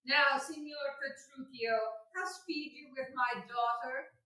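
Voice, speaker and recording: Conciliatory father acted by a woman, declamatory stage comedy, high, measured, very echoey, far from mic. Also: steady tone, sharp tone. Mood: bewildered, blessing. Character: formal, conciliatory father of two marriageable daughters. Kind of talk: staged reading of Shakespearean comedy.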